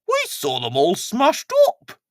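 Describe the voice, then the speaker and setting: A man's voice: high-pitched, huge pitch swings, takes it slowly, no room sound, pitched above the performer's own voice, exaggerated voice. A man voicing an easygoing village handyman and inventor in a children's story, matter-of-fact and musing.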